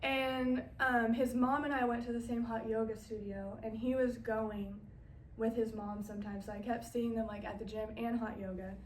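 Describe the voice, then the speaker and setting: A woman in her twenties with a drawl, chatting as a podcast guest. Her voice sits a touch high and runs loose and conversational. She sounds set back from the mic in a reverberant room with faint hiss underneath. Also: flat delivery, normal speed. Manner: chatty, laughs while telling stories. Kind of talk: casual storytelling. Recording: echoey room, mic at a distance, faint noise.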